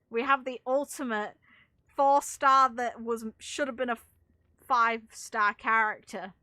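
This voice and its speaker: A woman's voice: fairly high pitch, fairly even intonation, takes it slowly, pauses often, pushed and bright, no room sound, clean studio sound. A woman doing casual gaming commentary as a streamer, rambling and opinionated with some casual swearing. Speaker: game streamer.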